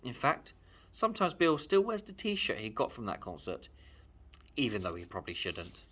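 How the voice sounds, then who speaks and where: Animated male storyteller with a husky edge. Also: mid-range voice, moderate pace. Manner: animated, emphatic. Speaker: storyteller reading a children's book aloud. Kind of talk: expressive read-aloud narration, first person.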